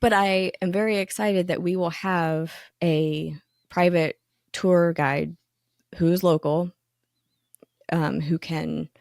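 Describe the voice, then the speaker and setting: A woman's voice: sits low, gravelly, darkish tone, no room sound, clean studio sound. A woman co-hosting a podcast in a casual, enthusiastic chat, with frequent ums and restarts. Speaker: magazine editor, co-host.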